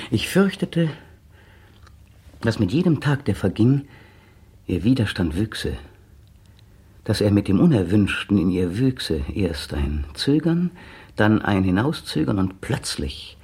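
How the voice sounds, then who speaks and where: An actor playing a brooding, cynical poet in a radio drama monologue, talking to himself haltingly and slipping into declamation. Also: male voice, lowish voice, a little mellow, slightly rough, full character voice. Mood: cold, brooding. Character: brooding, cynical man, a poet, waiting restlessly at home. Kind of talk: 1960 German radio drama interior monologue.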